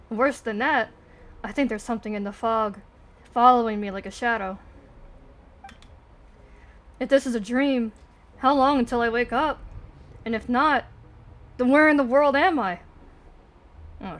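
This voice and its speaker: A woman's voice: fairly high pitch, moderate pace, pauses often, fairly bright tone. A woman livestreaming a video game, mixing informal reactions with lines read aloud from the screen. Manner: informal, swears freely.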